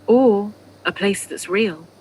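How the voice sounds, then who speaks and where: A woman's voice: medium pitch, slow, lightly acted, poor audio. A woman performing as a poetic narrator in an audio play, delivering short evocative phrases. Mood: measured, evocative. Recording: loud music over the voice.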